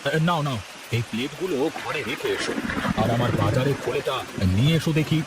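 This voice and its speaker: A man's voice: medium pitch, fairly quick, very noisy, close to an everyday voice. A man voicing a kindly, trusting village grocer and husband in a cartoon. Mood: affectionate. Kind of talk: cartoon voice acting.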